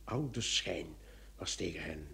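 Animated police commissioner. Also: male voice, low voice, slow pace, creaky, heavily acted. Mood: dawning realisation. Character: earnest, anxious male police commissioner. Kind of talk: Dutch-language radio drama acting.

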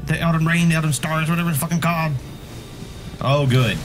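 A man bantering casually as he comments on a friend's video game, joking and swearing freely in an excitable way. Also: fairly high pitch, fairly quick. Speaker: co-host commenting on a friend's gameplay. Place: indoor room, webcam setup beside another player. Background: game music.

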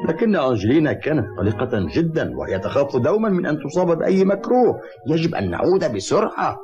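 An actor voicing a kindly, protective old mountain grandfather in an Arabic cartoon dub, speaking gently and reassuringly. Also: fairly high pitch, very animated, fast. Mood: concerned. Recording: muffled old cartoon audio, music behind.

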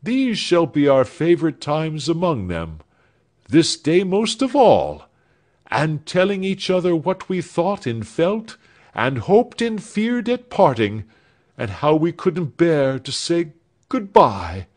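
A man's voice: fairly high pitch, very animated, takes it slowly, darkish tone, pitched above the performer's own voice. A man playing an earnest young man bidding a heartfelt farewell to those he loves. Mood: fervent. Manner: fervent, heartfelt. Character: earnest young man, devoted lover departing.

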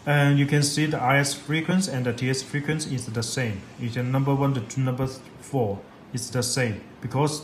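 A man giving a step-by-step software tutorial in halting, repetitive English. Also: medium pitch. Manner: halting, repetitive non-native English phrasing. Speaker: product demonstrator. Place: indoors at a laptop on a desk. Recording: echoey room with clear background noise.

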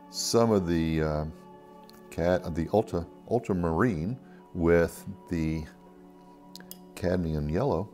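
A man's voice: sits low, mellow. A man hosting a watercolor tutorial, talking through his process in an easygoing way with frequent ums and uhs. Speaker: art tutorial host. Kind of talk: relaxed step-by-step painting demonstration. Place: at a table, painting from overhead camera view.

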